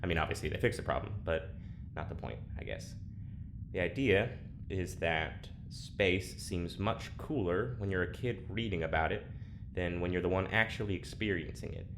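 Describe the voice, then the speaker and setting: Low-voiced, wry, rambling prisoner. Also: male voice, normal speed, noisy background. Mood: rambling, deadpan. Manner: hesitant, rambling, deadpan sarcasm. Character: wry, awkward male space prisoner recording an audio diary. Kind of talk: naturalistic audio drama acting.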